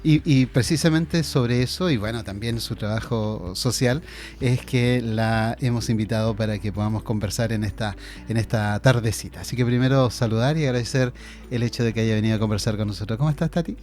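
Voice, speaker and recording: A man hosting a local radio interview, speaking in a hesitant way with many pauses and restarts. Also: medium pitch, darkish tone. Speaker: radio host. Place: radio studio.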